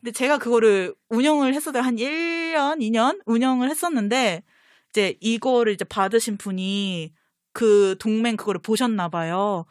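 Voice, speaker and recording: A woman's voice: a little high, normal speed, no room sound, studio quality. A woman guest on a fan talk show, telling personal anecdotes in casual conversation.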